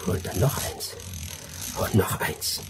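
An actor playing a bitter, scheming colonial-era adventurer in a radio drama, theatrical and sardonic. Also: male voice, takes it slowly, very noisy, close to an everyday voice. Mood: gloating, menacing. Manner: theatrical, muttering and gloating. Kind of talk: radio drama acting with first-person narration.

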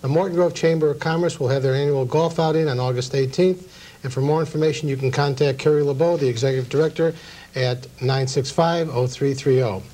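A man's voice: a little high, fairly even intonation, a little fast, mellow. A middle-aged man, hosting a local cable talk show in a studio. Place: small TV studio at a table.

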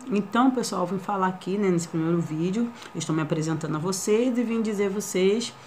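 A woman's voice: mid-range voice, quick pace, darkish tone, a little hiss or hum. A woman in her thirties with a Rio de Janeiro accent, introducing herself in a hesitant, shy way, with many fillers and tag questions. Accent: Rio de Janeiro Portuguese. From Brazil. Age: 30s. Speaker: vlogger. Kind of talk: casual first-vlog introduction. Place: small living room indoors.

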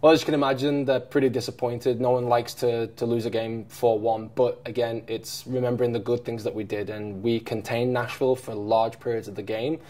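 A man's voice: mid-range voice, level tone, fairly quick, few pauses, a little mellow. A man in his thirties, a head coach reflecting on a match at a press conference, with many fillers and restarts. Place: press room in front of a sponsor backdrop.

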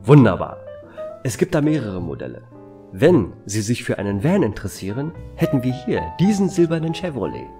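A man's voice: mid-range voice, big pitch swings, moderate pace, over music. A man playing a good-natured, polite car salesman who gets easily flustered in an audio drama. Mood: eager, polite. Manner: polite, stammering when flustered.

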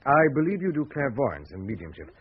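An actor plays a male writer in a radio thriller, courteous but insistent and sceptical. His mid-pitched voice moves up and down a lot, heard on a muffled recording. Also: sing-song, a little fast, natural voice. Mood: polite, hesitant. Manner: polite, hesitant, insistent. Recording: muffled, noisy old radio drama recording.

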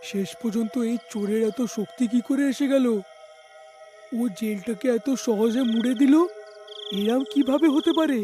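A man's voice: very high-pitched, normal speed, warm tone, faint music behind. A man voicing a flustered, plaintive village policeman in a cartoon, exclaiming in an overwrought way. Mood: puzzled.

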